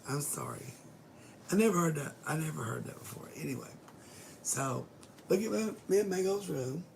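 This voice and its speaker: A woman's voice: low voice, gravelly, slight background noise. A middle-aged woman who has lost her voice, chatting in a rambling casual way to live viewers from her bedroom, swearing casually and sometimes dropping to a whisper. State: hoarse, losing her voice. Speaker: vlogger greeting live viewers. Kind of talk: rambling casual livestream chat.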